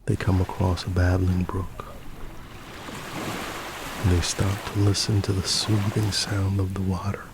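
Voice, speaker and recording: A man gently narrates a bedtime story in a fairly low, hushed voice. His delivery is slow and soothing, often half-whispered, with clear nature ambience and birdsong behind it. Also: monotone, heavy background noise. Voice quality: soft, often slipping into whisper.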